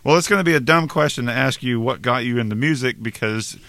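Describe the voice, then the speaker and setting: A man hosting a casual interview, chatty and quick to laugh, often filling with "like". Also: medium pitch, creaky, bright, forceful tone, no echo. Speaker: interviewer host.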